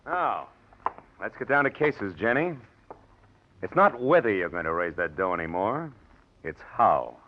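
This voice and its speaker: Smug blackmailer from a 40s radio show, mock friendly, deep-ish voice, muffled old recording. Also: male voice, expressive, measured pace, pauses often. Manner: taunting, mock-friendly wheedling. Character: menacing, smug male blackmailer, mockingly sweet. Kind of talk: 1940s radio drama acting.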